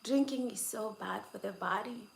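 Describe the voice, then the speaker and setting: A woman's voice: mid-range voice, normal speed, vocal fry. A woman hosting a casual livestream from home, chatting and teasing viewers, laughing often. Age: adult over twenty-six. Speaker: livestream host. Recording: echoey room with faint background noise.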